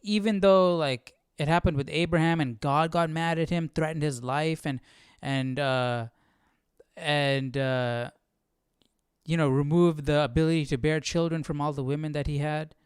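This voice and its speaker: A man's voice: fairly high pitch, fairly even intonation, normal speed, clear, resonant tone, dry recording, studio quality. A man hosting a live Bible study stream, thinking aloud in casual, reflective commentary and checking in with listeners. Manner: thinking aloud, often asking "right?".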